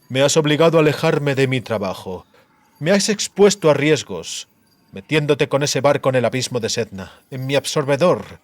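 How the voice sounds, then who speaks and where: A man voicing a cruel, disfigured sorcerer in a measured, theatrical monologue, coldly gloating and menacing. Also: medium pitch, no room sound.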